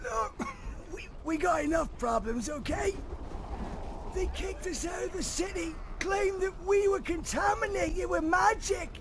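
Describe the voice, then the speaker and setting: An actor voicing a sick, coughing halfling outcast who rants and shouts. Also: male voice, extremely high pitch, unhurried, vocal fry, noisy background, heavily acted. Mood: frantic. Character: coughing sickly male halfling outcast, ranting.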